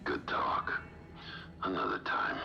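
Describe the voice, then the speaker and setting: An actor playing a battered, laconic cyborg fighter pilot in a video game, speaking in terse fragments with a broken rasp. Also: male voice, pushed and bright, highs cut off. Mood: grim, stoic. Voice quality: very deep gravelly rasp, forced and broken. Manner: terse, clipped fragments with heavy pauses. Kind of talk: video game character acting.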